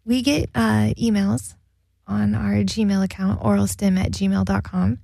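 A woman's voice: medium pitch, level tone, a little slow, gravelly, dark tone, husky, dry recording. A woman co-hosting a casual radio chat show, reading listener emails and laughing often.

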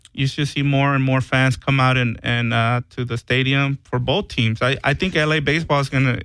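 Smooth-voiced sports radio co-host, hesitant. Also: male voice, medium pitch, a bit bright, dry recording, poor audio. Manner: hesitant, many fillers and repeated words. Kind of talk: casual sports talk.

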